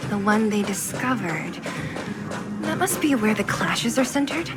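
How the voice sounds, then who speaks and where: A woman voicing a brisk, bossy but caring young woman in a video game, direct and commanding. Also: mid-range voice, lively intonation, loud music behind the voice, lightly acted. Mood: focused.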